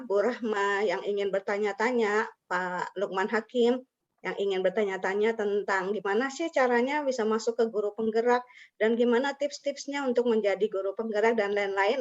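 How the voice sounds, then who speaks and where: A woman hosting an online teacher webinar, chatty and friendly, calling on participants by name. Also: medium pitch. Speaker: webinar host and teacher trainer. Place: video call. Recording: dull lo-fi video call audio.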